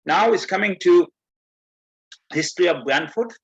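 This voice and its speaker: A man from Bangladesh giving a community presentation, explaining step by step and earnestly, with many pauses. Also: high-pitched, animated, husky. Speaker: guest speaker. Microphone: video call. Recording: muffled video call audio.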